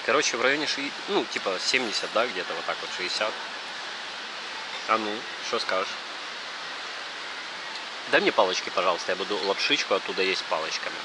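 A man in his thirties vlogging casually while he eats, talking in an informal, halting way with plenty of fillers. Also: lowish voice, animated. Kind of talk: casual chat while eating. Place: large open restaurant, indoors. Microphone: action camera on the table at close range. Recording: loud restaurant ambience noise.

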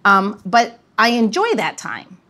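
A woman's voice: mid-range voice, sing-song, slow, pushed and bright. A middle-aged woman hosting a podcast, chatting casually and bluntly, acting out little exchanges as she talks. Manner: blunt, informal, acts out short exchanges. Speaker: podcast host. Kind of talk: casual chat.

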